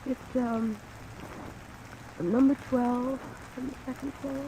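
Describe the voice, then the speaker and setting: An actress playing a wounded, distraught married woman who speaks haltingly in a vintage radio crime drama. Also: sits high, steady tone, noisy background. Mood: weak, hesitant. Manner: faltering, halting. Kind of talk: mid-century American radio drama acting. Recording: old radio recording under rain sound.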